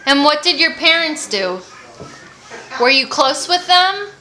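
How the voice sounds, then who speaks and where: A woman's voice: high-pitched, very animated, unhurried, pushed and bright, slight background noise, rough recording. A woman asking her grandfather questions in a casual family interview, with short encouraging replies.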